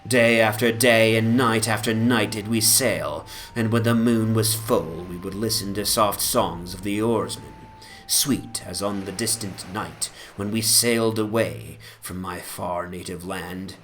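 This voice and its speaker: A man reads literary fantasy with solemn, theatrical weight. His voice sits a little low and moves very slowly, in measured phrases, over atmospheric music. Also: a bit bright. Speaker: narrator, reader. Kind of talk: dramatic audiobook reading of literary fantasy.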